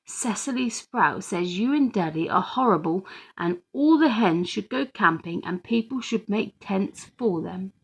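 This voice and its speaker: A woman voicing an excitable, wilful little girl of about three in a children's storybook, with a pleading, dramatic manner. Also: medium pitch, a little slow, gravelly, few pauses, husky, exaggerated voice, poor audio. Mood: indignant.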